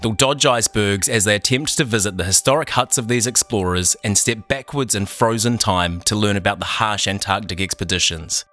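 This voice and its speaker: A man's voice: lowish voice, brisk, few pauses, pushed and bright, faint music behind. A young man giving earnest, scripted documentary narration. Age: young adult. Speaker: documentary narrator and team member.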